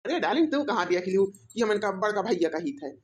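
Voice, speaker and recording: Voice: mid-range voice, big pitch swings, quick pace, a little hiss or hum, lightly acted. A performer voicing a smug, mocking young husband in an Awadhi cartoon comedy, teasing and boastful with an Awadhi accent. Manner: teasing, boastful, rambling mockery.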